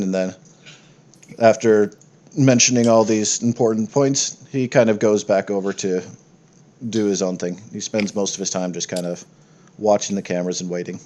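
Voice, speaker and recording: A man's voice: fairly low pitch, dark tone. A man working as game master, narrating a tabletop game in a casual, improvised way.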